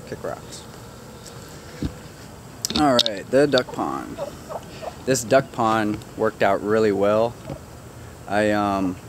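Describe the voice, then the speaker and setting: A man casually showing viewers around his backyard garden outdoors, chatty and relaxed, joking with his animals. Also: lowish voice, noisy background. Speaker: gardener giving a backyard tour. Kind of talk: casual walk-through narration.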